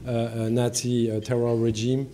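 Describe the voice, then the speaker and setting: French man, halting formal remarks. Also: medium pitch, little pitch movement, warm tone, a little hiss or hum. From France. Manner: halting, many fillers and restarts. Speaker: panel moderator and diplomat.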